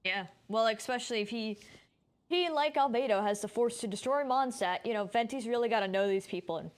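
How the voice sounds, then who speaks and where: A woman's voice: fairly high pitch, a little fast. A woman streamer giving informal, rambling live commentary while she plays a video game. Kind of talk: casual live gameplay commentary.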